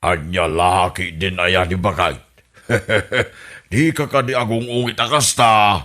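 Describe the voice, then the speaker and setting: A man's voice: low-pitched, fairly even intonation, a little slow, bright, forceful tone. A man playing a scheming old husband who keeps chuckling at his own plans in a comedy radio drama. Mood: sly. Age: older. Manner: chuckles constantly, sly and boastful. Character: scheming old husband running for village captain.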